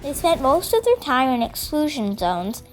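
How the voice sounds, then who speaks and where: A woman's voice: high voice, very animated, normal speed. A woman voicing an earnest female rebel robot, solemnly reading dramatic logs of an uprising. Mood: earnest, reflective. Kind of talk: scripted dramatic log narration. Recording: music behind the voice.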